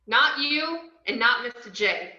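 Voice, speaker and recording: Brash young villainess, wildly swooping delivery, script read on a dull, roomy call. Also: female voice, on the high side, expressive, measured pace, sharp tone, heavily acted. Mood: cocky, defiant. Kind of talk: video-call table read of a film script. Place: indoors, on a video call. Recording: muffled, echoey video-call audio.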